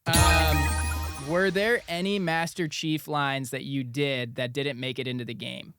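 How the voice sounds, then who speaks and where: A man's voice: fairly high pitch, fairly even intonation, measured pace, fairly bright tone, soft background music. A man chatting casually as a co-host and interviewer. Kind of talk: casual chat.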